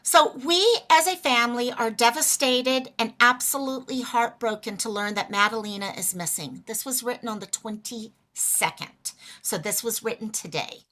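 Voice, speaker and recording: Woman doing a chatty livestream, bright voice, bit hoarse, says hi to viewers. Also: on the high side, moderate pace, hardly any pauses. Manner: chatty, greets viewers by name. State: losing her voice. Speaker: livestream host. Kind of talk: casual livestream chat.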